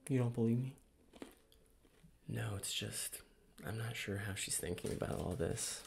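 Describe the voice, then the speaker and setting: Man acting a young tennis rival, low creaky voice, hushed and teasing, ASMR drama, noisy crinkly recording. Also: unhurried. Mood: hushed suspicion. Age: young adult. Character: two young tennis rivals sparring quietly over a girlfriend. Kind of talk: hushed ASMR scene reenactment. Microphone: desk microphone, close. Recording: clearly noisy close-mic recording, crinkling.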